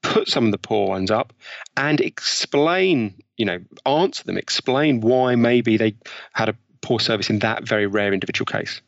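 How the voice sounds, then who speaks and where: Gravelly man explaining marketing studies. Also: lowish voice, normal speed, fairly bright tone, no room sound, dull audio. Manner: explanatory, cites studies, frequent "you know". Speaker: author and guest. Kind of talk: podcast conversation.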